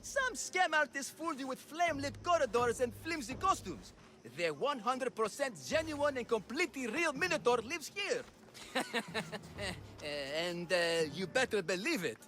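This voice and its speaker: A man's voice: extremely high pitch, big pitch swings, moderate pace, sharp tone, a little hiss or hum, exaggerated voice. An actor playing a flamboyant, scheming ancient Greek showman in a video game, grandiose and prone to flustered stammering, with music behind him. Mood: grandiose hawking. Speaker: carnival-style promoter. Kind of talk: theatrical video game acting.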